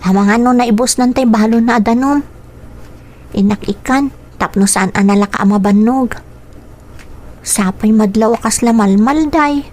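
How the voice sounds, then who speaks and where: High girlish voice, woman playing dutiful young elder sister, plaintive, earnest, radio drama delivery. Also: moderate pace, long pauses, a little mellow, lightly acted. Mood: worried. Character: dutiful, sorrowful young daughter, eldest-sister type, in poor farm family. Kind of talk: Ilocano radio drama acting with inner monologue.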